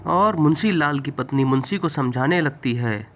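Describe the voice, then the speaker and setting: A man's voice: on the high side, expressive, normal speed, slight background noise. A man narrating a scripted cartoon story as the storyteller.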